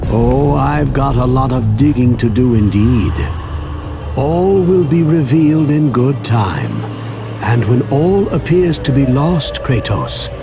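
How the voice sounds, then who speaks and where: An actor voicing a cryptic, knowing old gravedigger who speaks slowly in riddles. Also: male voice, medium pitch, lively intonation, music in the background. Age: older.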